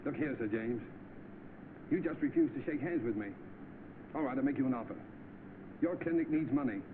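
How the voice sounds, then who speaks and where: A rich, idle English gentleman, played by a man comes through a narrow, very noisy old film soundtrack. His speech is polite, clipped and determined, with the understatement of vintage British screen acting. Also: medium pitch, moderate pace, close to an everyday voice. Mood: defiant.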